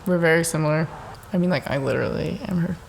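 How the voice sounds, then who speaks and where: A young woman with a fairly low voice talks on her own show. She rambles and mocks herself, jumping from one thought to the next. She is at home in a dark apartment. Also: fast talker, vocal fry, slight background noise. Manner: rambling, self-mocking, jumps between thoughts. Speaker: podcast host. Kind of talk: rambling solo self-reflection monologue.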